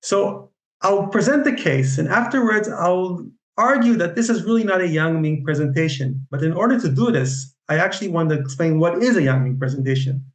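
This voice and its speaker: A man with an Israeli accent giving an online lecture on Chinese medicine, speaking haltingly with many fillers and restarts. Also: sits high, expressive, roomy sound, poor audio. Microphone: video call.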